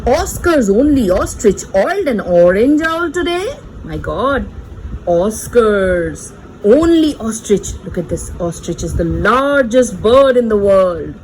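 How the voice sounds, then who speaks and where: A woman's voice: a little high, very animated, takes it slowly, a little mellow, a little hiss or hum, poor audio. A woman reading a picture book aloud to small children in a playful, sing-song, teacherly way, repeating words and pointing things out. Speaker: children's read-aloud presenter.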